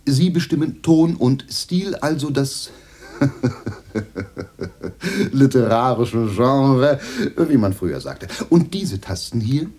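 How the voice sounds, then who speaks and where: An eager, animated salesman. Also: mid-range voice, takes it slowly, long pauses, slight background noise. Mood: smooth, explaining. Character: glib, eager American machine salesman. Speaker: actor in a radio drama. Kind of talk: radio play acting.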